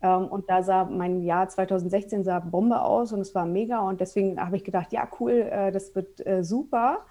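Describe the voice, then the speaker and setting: A woman's voice: medium pitch, steady tone, moderate pace, hardly any pauses, warm tone. A woman in her forties from Berlin, telling her story openly as a podcast guest, with many fillers. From Berlin, Germany. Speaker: guest, photographer. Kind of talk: casual interview.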